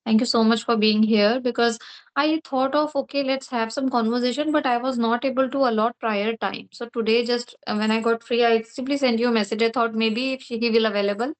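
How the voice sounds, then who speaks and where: Indian English woman host, slightly high voice, chatty casual conversation. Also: steady tone, brisk, rough recording. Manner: friendly, talkative.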